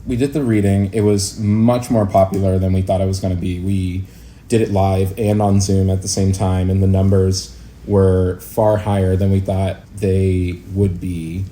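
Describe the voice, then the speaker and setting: Male podcast guest, low and mellow, reflective, informal delivery, faint background noise. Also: little pitch movement, moderate pace. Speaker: interview guest, actor-producer and educator. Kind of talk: casual podcast interview.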